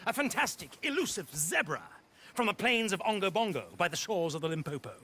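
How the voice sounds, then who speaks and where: A man's voice: high voice, sing-song, fairly quick, pushed and bright, full character voice. A man voicing a flamboyant British circus showman in a video game, with grandiloquent, theatrical, plummy delivery. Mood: pleading salesmanship. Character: flamboyant male circus showman calling himself Margaret, theatrical con artist. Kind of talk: video game cutscene acting.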